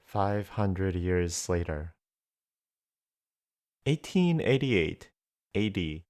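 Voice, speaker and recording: A man's voice: sits low, big pitch swings, very slow, heavily acted. A man performing as the solemn narrator of an audio drama, reading scripted historical fantasy narration. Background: loud music.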